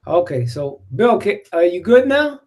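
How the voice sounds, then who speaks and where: A man with a high voice hosts a community gathering on a video call. He keeps things loose and upbeat, joking his way through a guest's audio trouble. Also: big pitch swings, measured pace, darkish tone. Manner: upbeat, joking while fixing tech trouble. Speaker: host of an online community show. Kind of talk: casual video-call hosting.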